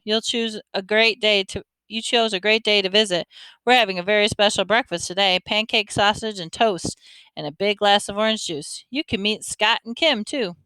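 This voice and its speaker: A woman's voice: mid-range voice, normal speed, sharp tone, smooth, dry recording, lightly acted, low-quality recording. A woman voicing a caring, scolding middle-aged mother who fusses over a boy. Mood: motherly. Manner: fussing, motherly.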